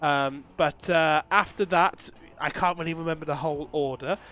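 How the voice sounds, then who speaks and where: A man's voice: a little high, steady tone, sharp tone. Chatty man commentating with a cold. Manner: chatty, laughs often, quick asides. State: losing his voice from a cold. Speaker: race commentator. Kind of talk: sim racing commentary.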